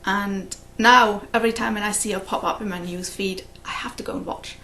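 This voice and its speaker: A woman's voice: medium pitch, steady tone, fairly quick, sharp tone, slight background noise, rough recording. A woman hosting a cross-stitch video, chatting casually and rambling, often laughing at her own stories. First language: English. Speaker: flosstube host. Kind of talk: casual chat to camera.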